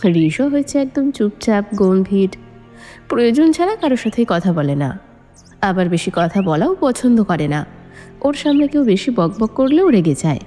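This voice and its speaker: Lady narrating a love story, soft mellow tone, big reverb w music behind. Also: medium pitch, sing-song, moderate pace. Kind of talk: dramatic audiobook narration of a romance novel. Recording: long reverb, music underneath. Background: background music.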